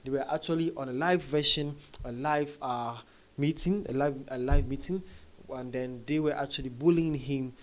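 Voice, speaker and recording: A man's voice: mid-range voice, moderate pace. A man from Africa with an African accent gives casual news commentary, talking conversationally to viewers and often repeating his phrases.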